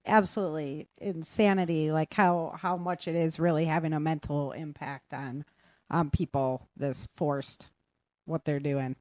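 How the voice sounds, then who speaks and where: A woman's voice: deep voice, steady tone, measured pace, darkish tone, dry recording. A woman hosting a podcast, chatting casually with her guests in a conversational interview style. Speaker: podcast host.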